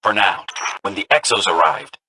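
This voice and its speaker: A man's voice: fairly low pitch, animated, moderate pace, creaky, background noise. A man voicing a small, bookish robot companion in a video game, theatrical and eager, with a nervous streak as it tells spooky lore. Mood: spooky storytelling. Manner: theatrical, eager storytelling with jittery, swooping delivery. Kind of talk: video game character dialogue.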